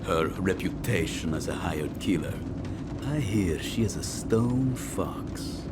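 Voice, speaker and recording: Falsely friendly mobster for a crime game: deep-leaning, slow, teasing, insinuating, over loud vehicle noise. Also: male voice, expressive. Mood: sly. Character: smooth-talking, theatrical gangster, falsely friendly, sly.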